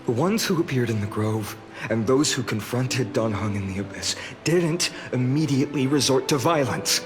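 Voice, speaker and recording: A man's voice: mid-range voice, lively intonation, moderate pace, lightly acted. A man voicing an earnest, analytical young adventurer in a video game, serious and reasoning, with music underneath. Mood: doubtful, insistent.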